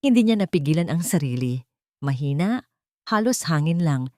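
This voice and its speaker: A woman's voice: low-pitched, animated, normal speed, a little mellow, no room sound, clean studio sound. A woman narrating a scripted dramatic story as an audio drama.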